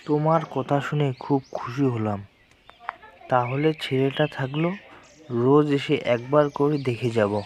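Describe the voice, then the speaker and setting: A man's voice: medium pitch, a little slow, a little hiss or hum, lightly acted. Honest simple crocodile dad, a man voicing him, plain earnest cartoon voice. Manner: plain, earnest, calling out loudly.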